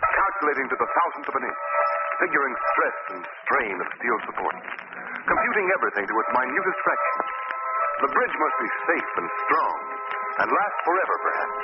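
A man's voice: medium pitch, close to an everyday voice. A man playing a solemn, portentous narrator in an old-time radio drama, with emphatic delivery. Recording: lo-fi classic radio recording, loud music.

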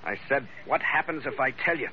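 An actor playing a tough, wry ship's skipper, hero of an old-time radio adventure, with a terse, cool manner. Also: male voice, mid-range voice, lively intonation, fairly quick, slight background noise, lightly acted. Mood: defiant. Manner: hard-boiled, terse, cool. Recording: muffled vintage radio recording with music.

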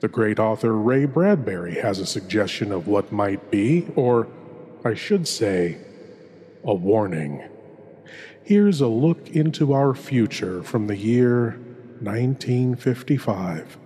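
A man performs as a ghoulish horror host. His delivery is slow and theatrical, with ominous pauses. The voice is husky and rings in a long, hall-like reverb. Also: on the low side, animated, dark tone. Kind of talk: theatrical scripted horror narration.

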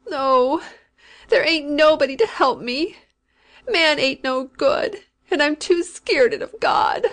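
A woman doing a dying old country woman in a storybook, slow and drawn-out, talking in a rough rustic way. she sounds sinful and scared of the dark, and she gives orders like she is used to being obeyed. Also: medium pitch. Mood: despairing. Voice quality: rustic dialect, anguished pleading.

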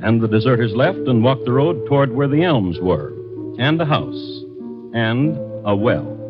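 A dull old radio recording has guitar music under a man's storytelling. He narrates in a wry, conversational, deliberate way, with a medium voice that rises and falls expressively. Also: medium pitch, lively intonation.

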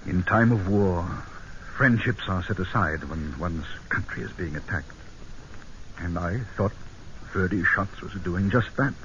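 An actor playing a guarded army colonel in a vintage radio drama, with clipped, formal, halting speech on an old broadcast recording. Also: male voice, low voice, a bit bright, highs cut off, slight background noise. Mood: subdued, regretful. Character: British army colonel, guarded, professing remorse over killing a friend. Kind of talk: 1940s-50s radio drama acting with narration.

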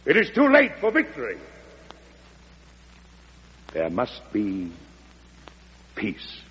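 An actor playing a grave, idealistic American President in an old-time radio drama, speaking in a measured, oratorical manner. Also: male voice, medium pitch, wildly swooping pitch. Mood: solemn, declaiming. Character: solemn, idealistic male American President pleading for peace. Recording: muffled old radio recording, reverberant, humming noise.

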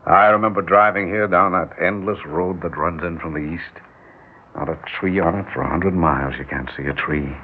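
An actor playing an earnest small-town mayor and devoted husband, speaking haltingly in an old-time radio drama style. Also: male voice, sits low, lightly acted. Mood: reflective. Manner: halting, wistful reminiscing, stammering when confused. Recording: tinny, hissy old radio recording.

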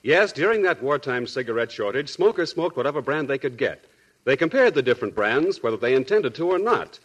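A man's voice: mid-range voice, sing-song, brisk, a bit bright, slightly rough, dull audio. A man reading a scripted 1940s radio advertisement as an announcer, on an old broadcast recording.

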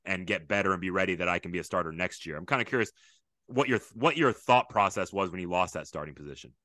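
A man's voice: deep voice, fast, pushed and bright, dry recording, clean studio sound. A man in his late thirties hosting a casual interview, chatting in a reminiscing way and building long, winding questions. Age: late 30s. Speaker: podcast host, football coach.

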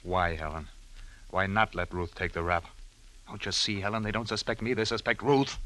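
A man's voice: lowish voice, steady tone, normal speed, sharp tone, rough recording. A man playing a calculating, crooked attorney in a 1940s radio drama. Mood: callous, calculating.

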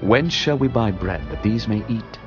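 Jesus, solemn and measured, lively pitch, dull lo-fi sound with music under. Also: male voice. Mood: calm. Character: Jesus, calm authoritative male teacher. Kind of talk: dramatized scripture reading.

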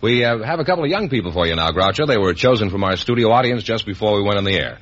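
A man's voice: fairly low pitch, expressive, rapid-fire, a bit bright. A man announcing contestants on a vintage radio show in a formal presenting style. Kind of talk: 1940s-50s radio announcing. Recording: dull vintage radio, faint noise.

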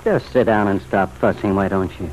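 A man's voice: low-pitched, a little fast, darkish tone, close to an everyday voice. An actor playing a bitter, restless young man in an old radio drama, curt and irritable. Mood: curt, sullen. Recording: muffled, noisy old radio recording.

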